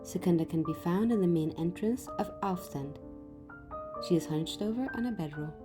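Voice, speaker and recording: A woman's voice: fairly low pitch, moderate pace, over music. A woman presenting a game mod in scripted narration.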